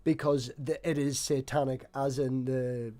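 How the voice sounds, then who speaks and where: A man's voice: mid-range voice, little pitch movement, measured pace, a crowd in the background. A man hosting a casual discussion in a jokey, informal way. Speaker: discussion host.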